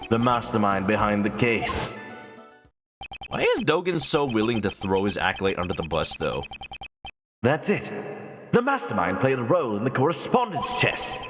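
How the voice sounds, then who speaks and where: A man streaming a video game, chatting playfully and reading game text aloud. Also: fairly low pitch. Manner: playful, often reads game text aloud and shouts catchphrases. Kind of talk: casual gaming commentary. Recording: game music behind the voice.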